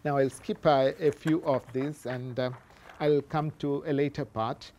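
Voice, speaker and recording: Low-voiced woman giving an academic lecture. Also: fairly even intonation, dark tone. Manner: halting, explanatory, with frequent self-corrections. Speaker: academic presenter reading a paper. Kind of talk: scholarly lecture read from a paper with spoken asides.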